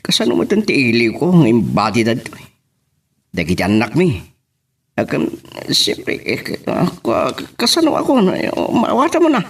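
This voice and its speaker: A man's voice: mid-range voice, huge pitch swings, normal speed, gravelly, breathy edge, heavily acted. A man playing an anxious husband in an amateur audio drama, talking hesitantly and conversationally. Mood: worried. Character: anxious Ilocano husband left at home with the children.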